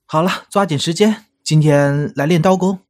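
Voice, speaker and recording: A man's voice: a little high, moderate pace, close to an everyday voice. A man playing a friendly, easygoing sous-chef, a helpful big-brother type in a modern audio drama. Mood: confiding, brotherly.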